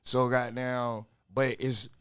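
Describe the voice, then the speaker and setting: A young man, a rapper guest talking in a relaxed, rambling, slangy way. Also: medium pitch, takes it slowly, clean tone, no echo. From Augusta, Georgia, US. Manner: relaxed, rambling, slangy with fillers like "like" and "you feel me". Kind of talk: casual interview chat.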